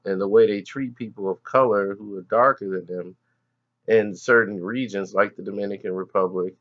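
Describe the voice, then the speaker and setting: A man's voice: fairly low pitch, fairly even intonation, moderate pace, muffled recording. A man giving indignant, emphatic commentary on an interview clip. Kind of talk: reaction commentary.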